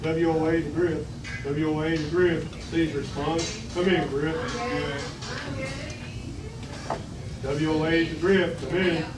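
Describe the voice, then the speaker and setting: A man reading the part of a commanding, scheming male villain in a firm, deliberate way. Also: fairly high pitch, little pitch movement, natural voice. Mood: insistent. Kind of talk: table read of a sci-fi script. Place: restaurant dining room table. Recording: distant, echoey, noisy restaurant.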